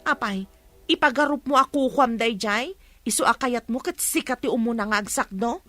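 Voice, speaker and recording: A woman's voice: on the high side, normal speed, fairly bright tone, no room sound, soft background music, lightly acted. A woman playing a patient, apologetic wife who pleads with and chides her husband in a radio drama. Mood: reproachful. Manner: pleading, reproving.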